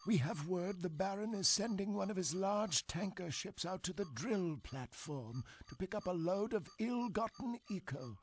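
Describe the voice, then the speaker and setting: An actor voicing a dignified, scheming old man in a video game, with a formal, deliberate and theatrical manner. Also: high voice, creaky, breathy edge, exaggerated voice. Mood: measured. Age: older. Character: scheming, dignified old male resistance elder. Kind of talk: dramatic video game cutscene acting.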